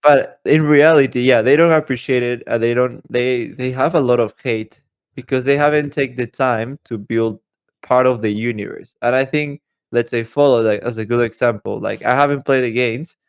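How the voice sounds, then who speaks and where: A man's voice: medium pitch, fairly even intonation, moderate pace, clean tone, dry recording. A man talking casually as a podcast co-host, with slightly non-native phrasing.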